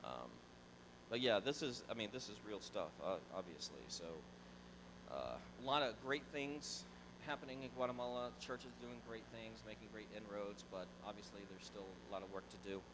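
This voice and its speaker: A man's voice: lowish voice, moderate pace, noisy background. A middle-aged man from Florida, a pastor telling a personal story to his church in a conversational, restarting way. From Tallahassee, Florida. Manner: conversational, repeats words and restarts, animated. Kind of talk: church talk, casual storytelling. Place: large church sanctuary. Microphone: handheld mic.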